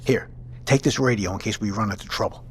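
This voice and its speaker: Man acting as low, commanding squad leader. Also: fast, a little hiss or hum, lightly acted. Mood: decisive. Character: decisive male squad leader on a scouting mission. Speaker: team commander. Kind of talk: audio drama dialogue.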